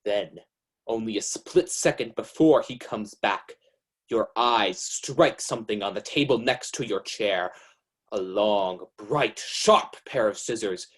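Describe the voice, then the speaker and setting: An actor playing an ominous, knowing narrator of crime tales in the style of 1940s radio suspense. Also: male voice, on the low side. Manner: deliberate, ominous.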